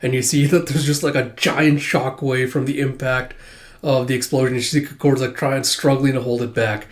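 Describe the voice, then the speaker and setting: A man with a cold and a sore, stuffy throat recapping a manga chapter casually, halting often with filler sounds. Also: mid-range voice, flat delivery. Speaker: manga reviewer.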